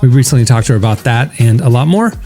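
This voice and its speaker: A man's voice: mid-range voice, expressive, quick pace, warm tone, soft background music. A man hosting a podcast, chatting casually and introducing segments in an upbeat, conversational way. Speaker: podcast host. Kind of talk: casual chat and show hosting.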